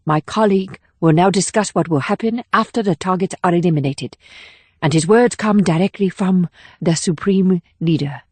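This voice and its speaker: A woman playing a cool, controlled female assassin with a formal, measured delivery in an audiobook drama. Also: on the low side, a little mellow, slightly rough, no room sound. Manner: measured, formal, clipped short statements. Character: cool, controlled, steely female North Korean assassin leading a mission.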